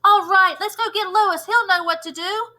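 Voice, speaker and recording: A woman voicing bold, indignant schoolchildren in a storybook, speaking up loudly and defiantly. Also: extremely high pitch, fairly even intonation, moderate pace, voice pushed up. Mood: urgent. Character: indignant, bold schoolchildren standing up to their teacher.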